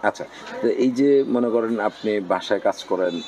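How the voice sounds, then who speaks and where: A man's voice: lowish voice, a little fast, a little mellow, a little hiss or hum, poor audio. A man with a Bangladeshi accent interviewing someone casually, probing and repeating answers back as questions. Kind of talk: casual on-camera street-style interview.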